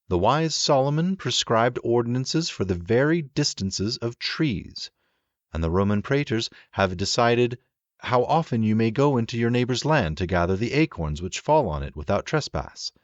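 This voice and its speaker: A man narrating a literary audiobook in a measured, formal and expressive reading manner. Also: fairly low pitch, no echo, lo-fi sound.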